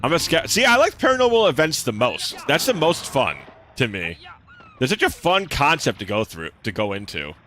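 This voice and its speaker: A man's voice: huge pitch swings, normal speed, pushed and bright, slight background noise. A young man streaming a game, chatting casually with his viewers; he has a slight lisp and talks in a laid-back, swear-sprinkled way. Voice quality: relatively deep voice. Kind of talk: casual live-stream chat while gaming.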